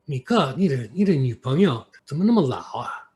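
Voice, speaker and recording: A man from New York with an American accent, an interview guest telling stories casually and haltingly, sometimes switching between English and Mandarin. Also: fairly high pitch, sing-song, mellow. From New York, United States. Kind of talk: casual conversational storytelling. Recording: clear background noise.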